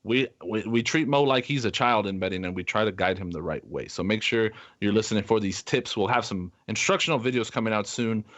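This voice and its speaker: A man's voice: fairly low pitch, fairly quick, hardly any pauses, fairly bright tone. A man co-hosting a sports podcast, chatting casually about betting.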